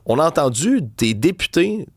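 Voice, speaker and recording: A man's voice: a little high, big pitch swings, moderate pace, dry recording, studio quality. A man with a Québécois accent co-hosting a talk radio interview, building pointed questions. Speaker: radio co-host.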